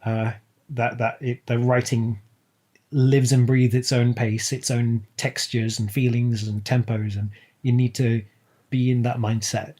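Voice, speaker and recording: Male interview guest, soft dark tone, middle pitch, thoughtful and articulate conversational delivery. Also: little pitch movement, unhurried. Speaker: podcast guest, audio drama writer. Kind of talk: casual, reflective interview chat.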